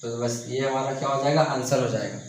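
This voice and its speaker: A young man teaching math on a board, speaking softly with a sore throat, coughing and restarting his sentences. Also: mid-range voice, fairly even intonation, a little fast. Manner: repeats and restarts himself, coughs now and then. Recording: echoey room.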